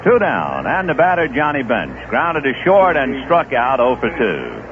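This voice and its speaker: A man doing live play-by-play for a baseball radio broadcast, telling relaxed anecdotes between pitches, on a tinny old radio recording. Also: unhurried. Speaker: play-by-play announcer. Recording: tinny lo-fi 1970s radio broadcast with faint hiss.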